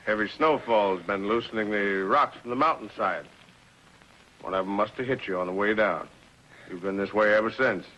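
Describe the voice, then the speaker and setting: Casting: sly frontier gold thief, rustic turns of phrase, forceful low-ish voice, dull vintage TV sound. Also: male voice, normal speed, a little hiss or hum. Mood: plainspoken, feigned concern. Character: sly outlaw gold thief posing as a folksy trapper. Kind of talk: 1950s television western acting.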